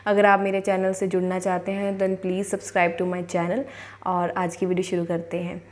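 A woman's voice: fairly low pitch, monotone, a little mellow. Earnest young woman coaching to camera. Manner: earnest, repeats affirmations slowly. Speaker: law of attraction coach. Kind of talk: motivational talk to camera. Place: indoors, in front of a plain wall. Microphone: clip-on lapel mic.

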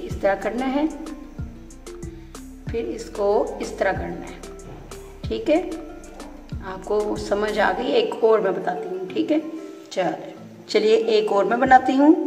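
A woman's voice: mid-range voice, a little mellow. A woman hosting her home cooking channel, explaining each step of a recipe in an instructive way and often checking in with the viewer. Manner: instructive, often ends with "theek hai". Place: home kitchen. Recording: echoey room with background music.

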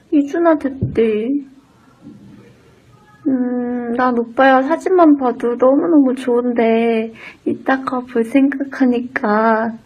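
A woman's voice: high voice, slightly rough. A young woman with a Gyeongsang Korean accent, talking in a cute, coaxing, giggly way as if on a call to a boyfriend. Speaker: idol singer recording a dialect clip. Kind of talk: sweet phone-call style aegyo in dialect. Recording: dull lo-fi sound.

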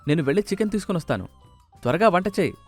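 A man voicing an irritable, sarcastic young husband with a scolding, sharp-tongued manner in a family moral story. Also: high voice, sing-song, rapid-fire, faint music behind, voice pushed up. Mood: curt. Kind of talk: moral-story cartoon dialogue.

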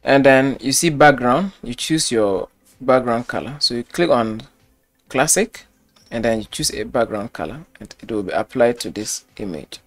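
A man's voice: mid-range voice, expressive, moderate pace, faint music behind. A man walking through a website-building tutorial step by step, repeating key phrases as he clicks through the screen. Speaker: web design tutor. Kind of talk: screen-recorded software tutorial.

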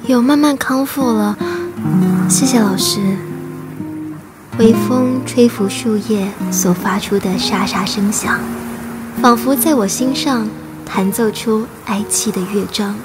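A high-voiced, earnest schoolgirl, tells her story in a radio drama. She is a striving teenage immigrant with a wistful way of speaking, over prominent music. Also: slow pace. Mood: shy, hesitant, then wistful, sorrowful. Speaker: voice actor and narrator. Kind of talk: radio drama acting and first-person narration. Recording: music behind the voice.

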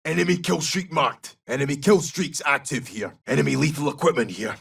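An actor playing a gruff, brash Scottish special forces soldier in a military shooter game, giving clipped, urgent tactical callouts in a rough, gravelly voice. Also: male voice, on the high side, expressive, brisk, a bit bright, far from the mic, full character voice. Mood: alert, clipped.

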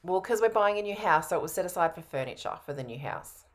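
A woman's voice: fairly low pitch, lively intonation, quick pace. A woman in her thirties on a video call from home, answering casually as the prospect in a sales roleplay. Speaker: coaching trainee playing a sales prospect.

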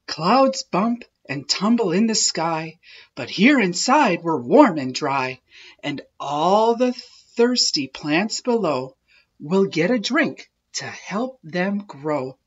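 A woman narrating a children's picture book in an expressive, measured storytelling way. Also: fairly low pitch, slightly rough, muffled recording. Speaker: children's read-aloud host.

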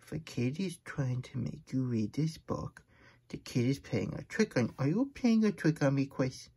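A man voicing a childlike, fretful and grumbly plush hedgehog who hosts a puppet story time, stammering through exasperated asides with a husky voice. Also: mid-range voice, big pitch swings, moderate pace, warm tone. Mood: chatty. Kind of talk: puppet read-aloud with chatty asides.

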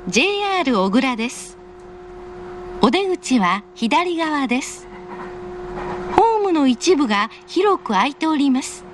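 High-pitched woman making formal train announcements. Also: sing-song, a little slow, long pauses. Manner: polite, formal, carefully enunciated. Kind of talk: recorded in-car station announcement. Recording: faint train noise. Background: train car ambience.

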